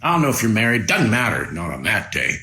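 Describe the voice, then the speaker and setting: An actor voicing a brash, excitable, pushy and lecherous visitor to a radio station in an audio comedy. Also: mid-range voice, fast talker. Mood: brash, teasing. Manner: exclamatory, pushy, swooping delivery. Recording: roomy, noisy recording with clicks.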